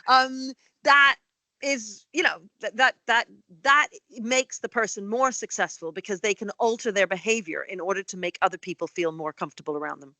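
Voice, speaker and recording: A woman's voice: mid-range voice, normal speed, no echo. Talkative woman with a dry, close sound. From Canada. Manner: animated, talkative, many fillers and restarts. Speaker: school headteacher, guest. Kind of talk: conversational interview.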